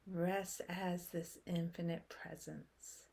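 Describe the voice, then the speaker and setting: A woman leading a guided meditation in a soothing, unhurried way, with long pauses between short phrases. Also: lowish voice, level tone, creaky, a little mellow, slightly rough.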